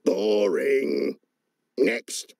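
A man voicing a big, grumpy, bored frog with a croaking, curt delivery in a children's story. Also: fairly low pitch, huge pitch swings, drawn-out words, a little mellow, no room sound, studio quality, pitched below the performer's own voice, full character voice. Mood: bored, dismissive.

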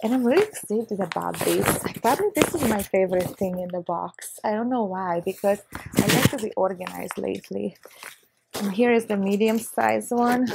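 A woman in her thirties from Hungary with a Hungarian accent, chatting excitedly through a casual unboxing vlog on a lapel mic in her living room. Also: mid-range voice, normal speed, creaky, heavy background noise. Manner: chatty, excitable, with short laughs. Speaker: vlogger. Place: living room on a leather couch, indoors.

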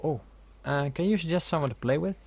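Gravelly man doing casual game commentary. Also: a little high, brisk, darkish tone, no echo. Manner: reads game dialogue aloud, jokey asides. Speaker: gaming video host. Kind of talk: casual let's play commentary.

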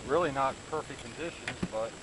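A man commentating to the camera while fishing from a small boat on a river, excited and laughing. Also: mid-range voice, fairly quick. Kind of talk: casual on-the-water commentary. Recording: loud wind and engine noise.

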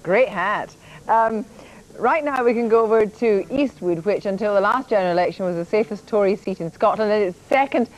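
A woman's voice: mid-range voice, a little fast, low-quality recording. Middle-aged woman, composed anchor, studio presenting. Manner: composed, linking between reports. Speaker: news anchor. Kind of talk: live election night presenting. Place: television studio.

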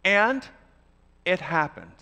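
An older man hosting an event, giving a speech from a large auditorium stage, halting with many restarts. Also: high voice, very animated, background noise. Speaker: event host. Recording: long hall reverb.

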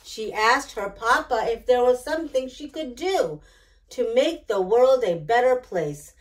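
A middle-aged woman hosting storytime, reading a picture book aloud in an expressive, deliberate way. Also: fairly high pitch, low-quality recording. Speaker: children's storytime host. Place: small decorated indoor storytime set.

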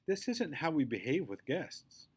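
A man's voice: fairly high pitch, big pitch swings, unhurried, a little mellow, breathy edge, pitched above the performer's own voice, exaggerated voice. A man voicing a stern, disappointed mother in a children's book read-aloud. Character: stern disappointed mother scolding her boys.

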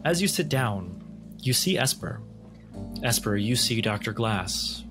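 A man's voice: lowish voice, big pitch swings, unhurried. A man narrating a tabletop roleplaying game as its game master. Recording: music under the voice.

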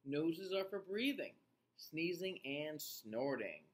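A man in his forties reading a picture book aloud to young children in an expressive, teacher-like way, with playful asides. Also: on the high side, slow. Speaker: children's read-aloud host. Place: small indoor room.

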